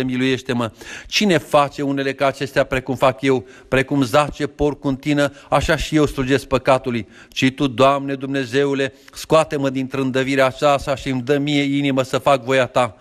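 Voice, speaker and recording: A man reading a long Orthodox penitential prayer aloud in a steady, even recitation. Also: mid-range voice.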